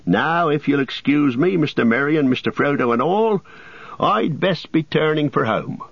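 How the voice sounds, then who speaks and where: A man voicing a gruff, kindly hobbit farmer with blunt country talk, on a dull lo-fi audiobook recording. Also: a little high, animated, normal speed, no echo, voice pushed up, heavily acted.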